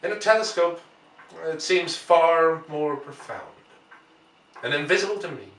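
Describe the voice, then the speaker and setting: A man reading literary fiction aloud as an audiobook narrator, measured and expressive, with deliberate dramatic pauses. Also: a little high, a little hiss or hum. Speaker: audiobook reader. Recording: echoey room, distant microphone.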